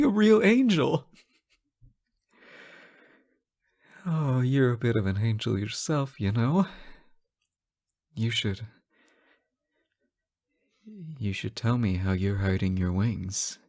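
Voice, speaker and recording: A man playing an anxious, devoted fallen angel in an emotional audio roleplay, speaking haltingly and pleadingly to the listener. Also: medium pitch, sing-song, dark tone. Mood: overwhelmed, adoring, then coaxing, needy.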